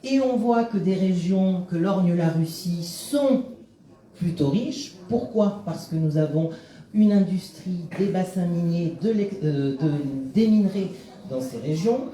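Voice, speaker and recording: A man from France gives a public talk in a conference room, his voice very dark and mellow yet pitched a little high. He speaks in a measured way and often stops on fillers. The sound is roomy and echoey. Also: lively intonation. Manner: measured, frequent hesitations. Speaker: lecturer. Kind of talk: public lecture.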